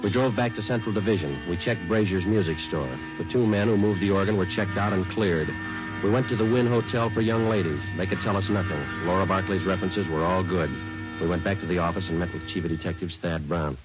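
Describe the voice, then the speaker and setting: A deep-voiced police sergeant played by a male actor speaks in flat, terse, businesslike sentences. The sound is a muffled 1940s radio recording, with music clearly present. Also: a little fast, natural voice. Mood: deadpan, matter-of-fact. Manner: deadpan, terse, matter-of-fact. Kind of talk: 1940s radio drama, clipped narration and dialogue.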